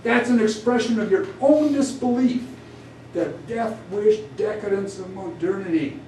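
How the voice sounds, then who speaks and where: A man performs an indignant, grieving biblical prophet who argues his case in high, declamatory tones. The delivery is accusing and rhetorical, as in a staged epic poem. It is heard from a distance in an echoing room with faint noise. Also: unhurried, dark tone. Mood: angry, mournful. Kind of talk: dramatic epic poetry recitation. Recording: distant roomy live recording, faint noise.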